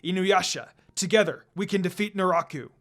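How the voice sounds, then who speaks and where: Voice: mid-range voice, a little fast, fairly bright tone, soft background music, natural voice. A woman voicing a proud, commanding male demon lord in a story reading, with a formal, declaiming manner.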